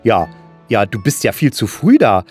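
A man's voice: lowish voice, huge pitch swings, normal speed, a little mellow. Man, fairy-tale narrator, patient, explanatory, light music underneath. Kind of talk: children's fairy-tale storytelling.

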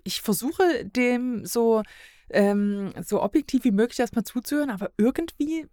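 A woman's voice: fairly high pitch, moderate pace, warm tone, no echo. A woman talking casually as a podcast guest, giving her opinions in a review chat.

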